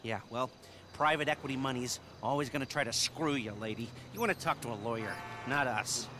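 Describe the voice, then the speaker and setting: An actor playing a grumpy, sarcastic middle-aged hacker who has retired from heists. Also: male voice, mid-range voice, background noise, natural voice. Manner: whiny, sarcastic, quick to complain.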